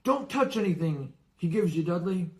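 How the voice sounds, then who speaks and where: A male reader acts out a blustering middle-aged uncle from a storybook. The voice jumps high and loud, stiff and pompous, sputtering with frightened indignation. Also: expressive, moderate pace. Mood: sharp warning. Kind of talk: storybook character voice.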